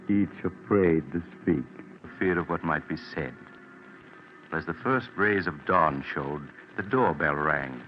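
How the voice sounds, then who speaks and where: A man with a deep voice tells a story as a measured radio narrator. The old 1940s recording is narrow and tinny, with light hiss.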